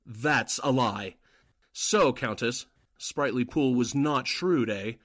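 A man's voice: mid-range voice, takes it slowly, fairly bright tone. An actor playing a sly, suspicious Nazi henchman, mocking and pointed, in 1940s radio drama style.

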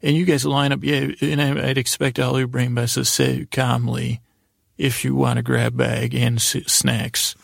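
Gravelly, creaky-voiced man rambling softly for bedtime. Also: mid-range voice, level tone, dry recording, studio quality. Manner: meandering, halting, repetitive, stumbling over words. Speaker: podcast host. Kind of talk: rambling bedtime sleep-podcast monologue.

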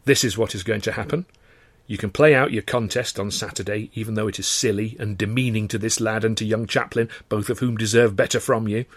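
A firm man from a storybook, voiced by a male reader, speaking with icy, measured control as he stands his ground. The sound is dry and close. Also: on the low side, steady tone, no room sound, natural voice. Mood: cold, firm. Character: firm, icily calm man standing up to a bully.

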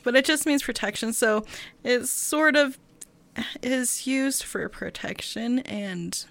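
A woman's voice: fairly high pitch, expressive, takes it slowly, fairly bright tone. A woman co-hosting a casual film discussion, explaining details in a tentative way. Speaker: podcast co-host.

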